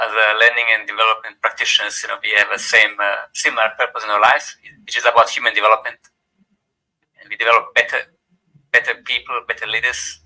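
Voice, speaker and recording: Older Croatian-accented man, low voice, very bright tone, halting thank-you speech. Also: poor audio. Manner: halting, repeats words, warm and gracious. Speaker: speaker giving vote of thanks. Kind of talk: formal closing remarks at an event.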